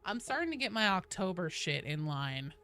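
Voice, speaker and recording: A woman's voice: on the low side, very animated, moderate pace, fairly bright tone, clear, resonant tone. A woman game streamer chatting casually with viewers, informal and sweary, reading and answering their comments as she goes. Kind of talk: casual chat with viewers while gaming. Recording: faint background noise.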